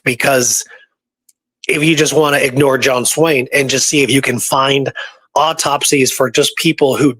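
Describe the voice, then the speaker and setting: A man's voice: medium pitch, a bit bright, slightly rough, no room sound. A man co-hosting a casual history discussion, speaking emphatically and repeating points for stress. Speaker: co-host of a history talk show.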